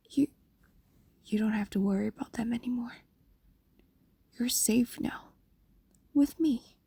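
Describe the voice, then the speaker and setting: A woman acts out an ancient female fox demon, tender and possessive, in a fantasy audio roleplay. Her voice is mellow and very breathy, often sinking into whispers. She coaxes slowly and soothingly, close up in a dry space. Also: on the high side, dry recording, exaggerated voice. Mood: possessive, concerned. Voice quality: soft, breathy, largely whispered. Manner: slow, soothing, hesitant pauses, coaxing. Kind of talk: intimate fantasy audio roleplay, spoken to listener. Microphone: close microphone, dry.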